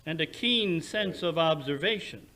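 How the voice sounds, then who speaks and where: A man playing a brisk, analytical, self-assured English gentleman detective in an old-time radio drama, with precise, formal, theatrical speech. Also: fairly high pitch, expressive. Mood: keen, commanding.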